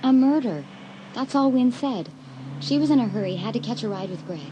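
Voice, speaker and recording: A woman's voice: fairly high pitch, lively intonation, fairly quick, mellow, slight background noise, natural voice, poor audio. An actress playing an uneasy teenage girl in a dramatised audiobook, with an anxious, brooding manner.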